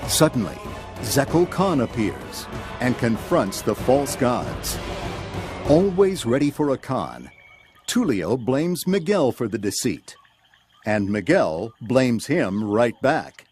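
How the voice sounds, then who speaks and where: Unhurried, expressive male storybook narrator. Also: mid-range voice, over music. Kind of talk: scripted children's read-along story narration.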